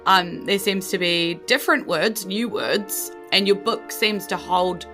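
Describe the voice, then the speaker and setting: A woman's voice: mid-range voice, a little slow, sharp tone, faint music behind. A woman with a New Zealand accent improvising descriptive narration as game master of a tabletop game, in a conversational way. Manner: conversational, descriptive, frequent "you know" asides.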